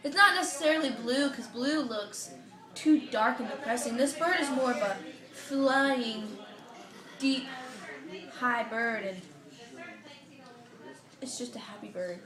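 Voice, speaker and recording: A woman's voice: on the high side, takes it slowly, pauses often, roomy sound, crowd noise. A woman doing a parody read-aloud of a children's picture book, rambling through ad-libbed asides in a theatrical, emotional way. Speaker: comedic storybook reader.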